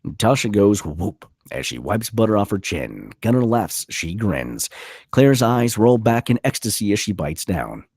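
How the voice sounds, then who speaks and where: A man's voice: on the low side, very animated, unhurried, gravelly, a little mellow, slightly rough, no room sound, studio quality. A man narrating a screenplay at a table read, reading out scene headings and stage directions.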